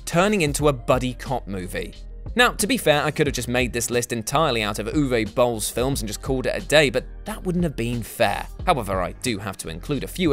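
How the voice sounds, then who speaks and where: Guy doing a jokey list video, really up and down voice, sarcastic, bit of music behind. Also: medium pitch. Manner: animated, theatrical emphasis, sarcastic asides. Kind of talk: scripted, jokey countdown commentary. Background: background music.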